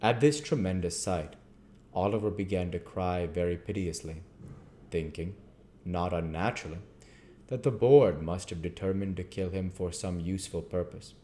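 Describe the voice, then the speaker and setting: A man reading a classic story aloud as scripted narration, deliberately and phrase by phrase. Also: low-pitched, warm tone, slight background noise. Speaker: audiobook reader.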